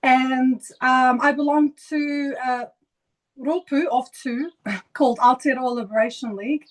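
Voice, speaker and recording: A woman's voice: high voice, steady tone, unhurried, poor audio. A woman from Iraq introducing herself as a panelist on a webinar, earnest, with slight stumbles.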